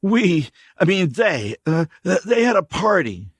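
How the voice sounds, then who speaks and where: A man's voice: high voice, very animated, unhurried, breathy edge, no echo, voice pushed up, full character voice. A man voicing a greasy, scared drifter who stammers and whines defensively. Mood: nervous pleading.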